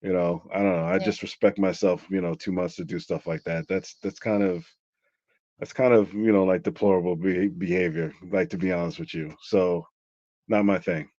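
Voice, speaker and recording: A man's voice: low voice, fairly even intonation, normal speed, darkish tone, dry recording. A middle-aged man telling a story in a casual, rambling way, full of "like". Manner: rambling, lots of "like". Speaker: interview guest. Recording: dull lo-fi sound.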